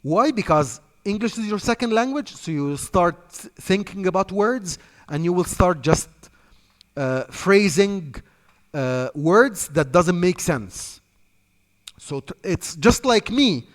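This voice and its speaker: A man's voice: high-pitched, lively intonation, slow pace. Egyptian-accented man coaching in a reverberant hall. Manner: repetitive, emphatic coaching, talks off the cuff. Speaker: sales trainer. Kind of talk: instructional talk to trainees.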